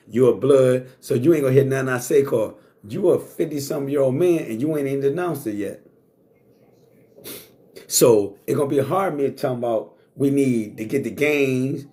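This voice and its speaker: A man's voice: mid-range voice, dark tone. A middle-aged man hosting a talk show, talking bluntly and casually, swearing freely. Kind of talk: casual monologue to live chat.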